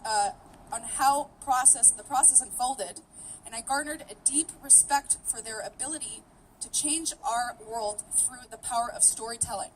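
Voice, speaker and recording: High-voiced woman giving a speech. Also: a little hiss or hum. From Canada. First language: Greek. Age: 30s. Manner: earnest, steady, determined delivery. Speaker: rally speaker. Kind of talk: prepared public speech. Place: outdoor rally. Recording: voice picked up from a distance.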